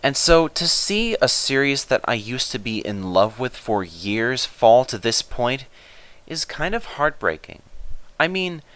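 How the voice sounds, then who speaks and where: A man's voice: mid-range voice, very animated, a little slow, fairly bright tone, clean tone. A man narrating in the manner of a children's storybook reader. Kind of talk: storybook narration and spoken video introduction.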